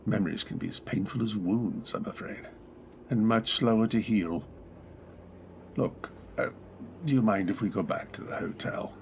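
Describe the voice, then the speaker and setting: A man's voice: on the low side, normal speed, vocal fry, darkish tone, slight background noise. A man in a community radio drama playing a brooding, wealthy widower who turns abruptly commanding. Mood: pained. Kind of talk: old-time radio drama acting.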